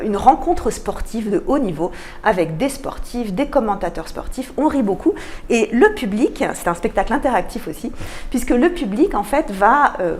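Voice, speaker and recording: A woman speaks as a guest in a radio interview at a middling pitch. She explains things in an articulate way, with enthusiasm for what she presents. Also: slight background noise. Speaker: guest, cultural programme manager.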